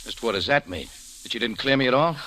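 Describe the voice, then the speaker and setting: An animated, hard-boiled private eye. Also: male voice, mid-range voice, quick pace, a little hiss or hum, rough recording. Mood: wry, defiant. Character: tough, wry male private investigator narrating his case. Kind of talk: 1940s radio acting, first-person narration. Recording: old radio drama recording with music.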